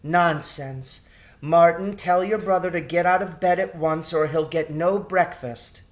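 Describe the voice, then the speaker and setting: A man voicing a caring, brisk mother mouse in a children's storybook, motherly and firm. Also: on the high side, steady tone, voice pushed up, lightly acted. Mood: firm, concerned. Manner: cheerful calling, motherly firmness.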